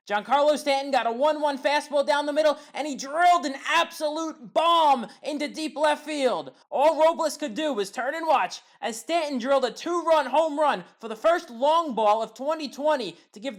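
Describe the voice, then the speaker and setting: A woman giving a scripted play-by-play voice-over of game highlights, in a steady recap delivery that lifts on big plays. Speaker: highlights commentator.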